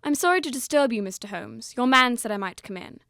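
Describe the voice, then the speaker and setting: A woman's voice: a little high, animated, a little fast, creaky, pushed and bright, no echo, clean studio sound, exaggerated voice. A woman playing a polite, deferential but insistent male servant delivering messages in an audio drama. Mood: apologetic. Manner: polite, subservient.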